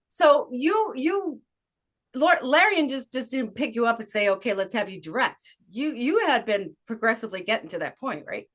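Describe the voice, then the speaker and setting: A woman's voice: fairly high pitch, expressive, measured pace, a bit bright. A woman hosting a casual interview, jokey and playful, often jumping in with her own remarks. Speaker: show host, interviewer. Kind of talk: casual chat.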